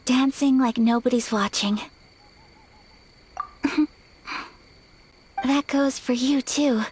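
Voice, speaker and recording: An actress playing a graceful young noblewoman in a game, soft-spoken, polite and heartfelt. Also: high-pitched, takes it slowly, slight background noise. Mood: shy. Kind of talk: game cutscene dialogue. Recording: game audio over music.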